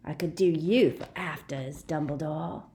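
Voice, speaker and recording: A woman voicing a savage male werewolf with a rasping, barking voice, leering and menacing. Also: low voice, measured pace, mellow, slight background noise. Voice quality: rasping bark. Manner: leering, relishing.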